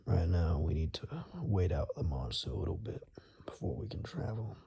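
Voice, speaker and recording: A man playing a gruff, protective forest dweller in an ASMR roleplay, speaking softly and huskily in a hesitant murmur, often whispering. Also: sits low, lively intonation, normal speed, lo-fi sound, exaggerated voice. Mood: cautious, warning. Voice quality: soft, breathy, husky, often whispered.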